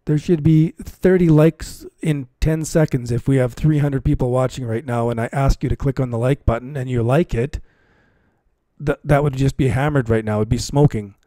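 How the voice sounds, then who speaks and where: Male, mellow low-brightness voice, casual livestream commentary, repeats phrases for emphasis, close dry recording. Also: moderate pace, no echo. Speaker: livestream host and cryptocurrency trading commentator. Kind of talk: casual live stream commentary, chatting with viewers.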